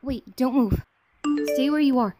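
Clear background music plays under a woman acting a composed teenage girl in a comic fandub. The voice is fairly high and unhurried, teasing and affectionate. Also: warm tone. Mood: gentle.

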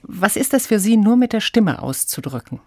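A woman's voice: mid-range voice, sing-song, normal speed, no echo, studio quality. A woman hosting a radio interview, giving composed introductions and putting questions to a guest in a conversational way. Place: radio studio.

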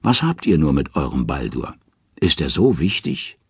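A man's voice: extremely low pitch, sing-song, normal speed, husky, no room sound, studio quality, full character voice. A man voicing a haughty, malicious female ruler of the underworld in an audio storybook, imperious and gloating. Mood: cold, offended.

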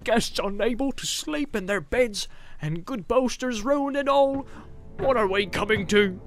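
Man playing a high-voiced flustered innkeeper. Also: a little slow, soft background music. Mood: horrified. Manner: fretful, lamenting. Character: flustered, dismayed male innkeeper. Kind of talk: dramatized audiobook acting.

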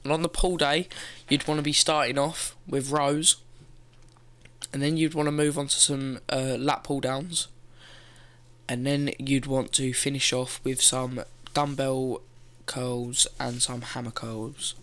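A man's voice: mid-range voice, flat delivery, a little slow, a bit bright. A teenage boy giving casual gym advice from his bedroom, loose and rambling, swearing now and then, talking into a gaming headset. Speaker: fitness vlogger. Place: bedroom, indoors.

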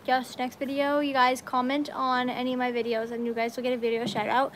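A young American woman chatting casually on a livestream, excitable and slangy, talking into a handheld phone in a metal-walled horse barn. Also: sits high, a little fast, slight background noise, poor audio. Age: young adult. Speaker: livestreaming vlogger. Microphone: phone at arm's length.

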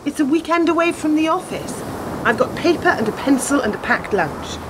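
A woman's voice: sits high, animated, moderate pace, noisy background. A woman playing a sceptical, dry-witted woman in a radio play, full of questions. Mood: wry, complaining.

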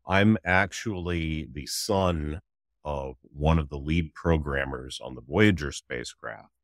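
A man's voice: extremely low pitch, slow pace, dry recording, studio quality. A middle-aged man, a guest author telling his story casually in an interview, with a wry, joking manner.

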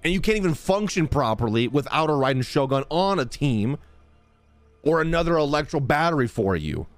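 A man's voice: fairly high pitch, big pitch swings, fairly quick. Playful male streamer, thirties, casual reactions with swooping intonation, close mic, light music behind. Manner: sassy, slangy, playful. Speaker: game streamer. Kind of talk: casual live reaction commentary. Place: indoor streaming room.

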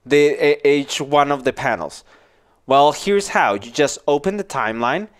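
A man in his forties giving an informal software tutorial, explaining casually step by step into a headset microphone in a small office. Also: a little high, clear, resonant tone. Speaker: animation tutorial instructor.

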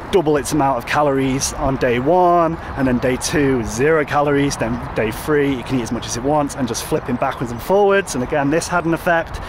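A man talking casually to a handheld phone as he walks outside, rambling with lots of fillers and restarts. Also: medium pitch. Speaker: vlogger. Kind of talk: casual walking vlog. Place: outdoors, walking by a road with traffic. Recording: outdoor wind and traffic noise.

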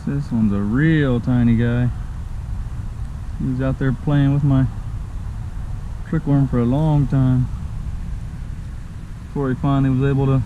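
A man's voice: medium pitch, phone-quality sound. Man fishing, outdoor camera. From Texas. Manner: talks to himself and the fish, frustrated exclamations. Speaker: fishing vlogger. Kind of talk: casual first-person fishing commentary. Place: outdoors by a creek. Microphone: head-mounted action camera. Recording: outdoor camera mic with clear background noise.

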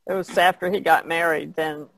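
A woman's voice: sits low, fairly even intonation, a little fast, gravelly, no echo, low-quality recording. A woman on a podcast panel, talking casually about art.

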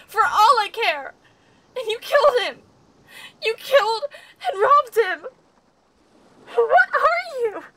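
An actress playing a grief-stricken, vengeful daughter, raging and anguished in an audio drama. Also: female voice, very high voice, pushed and bright, full character voice.

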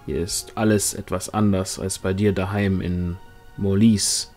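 A man's voice: deep voice, little pitch movement, unhurried, darkish tone, soft background music, pitched below the performer's own voice. A man voicing a good-natured, reassuring and determined rider in a fantasy audiobook. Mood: soothing.

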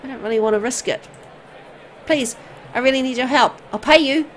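A woman's voice: high voice, moderate pace, pitched above the performer's own voice, lightly acted. Woman acting young female game heroine, earnest, anxious, pleading, hesitant, faint ambient noise behind. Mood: pleading, worried.

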